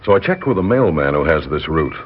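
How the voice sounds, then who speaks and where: An actor playing a calm, inquisitive police lieutenant in a 1960s radio drama, husky, courteous and measured as he probes. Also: a bass voice, heavily acted. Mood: calm, probing. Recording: muffled 1960s radio recording.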